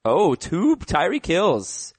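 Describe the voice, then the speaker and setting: A man hosting a casual sports talk show, animated and giving hot takes, his voice a little rough from getting sick. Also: sits high, slow, no echo. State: slightly sick voice. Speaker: podcast host.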